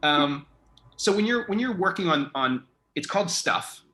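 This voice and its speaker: A man's voice: fairly high pitch, animated, normal speed, bright, forceful tone. A man interviewing a guest in a casual chat, effusive and rambling as he thinks aloud. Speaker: interviewer, theatre artistic director.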